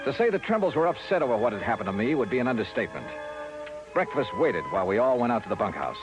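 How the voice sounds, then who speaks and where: A man's voice: medium pitch, sing-song, fast, music in the background, close to an everyday voice, poor audio. A man acting as a dry, skeptical American insurance investigator who narrates a 1950s radio detective drama. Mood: wry narrating. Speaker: narrator and lead character.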